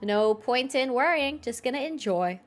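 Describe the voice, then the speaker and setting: A woman's voice: sits high, lively intonation, normal speed. A woman doing casual, informal gameplay commentary as a streamer, giggly and reacting aloud. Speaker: game streamer. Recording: game music behind the voice.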